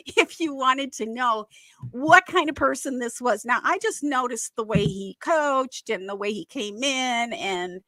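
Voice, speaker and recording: A woman from Wisconsin with a Wisconsin accent, co-hosting a casual football chat, chatty and quick to laugh. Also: high voice, sharp tone, no echo, rough recording. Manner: chatty, laughs often, many fillers. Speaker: podcast co-host.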